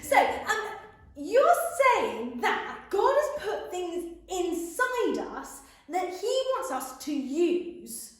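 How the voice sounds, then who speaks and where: Female children's show host, high-pitched and playfully inquisitive, swooping intonation, heavily reverberant room. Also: very animated, very slow. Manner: enthusiastic, playfully questioning. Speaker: host of a children's faith programme. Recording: very echoey room.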